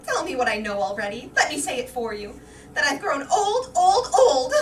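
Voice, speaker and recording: A woman's voice: high voice, big pitch swings, moderate pace. A woman playing a vain, anxious aging wife in staged reader's theater, dramatic and emphatic. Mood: anguished. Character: vain, anxious aging wife awaiting her soldier husband. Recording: roomy, distant mic, faint noise.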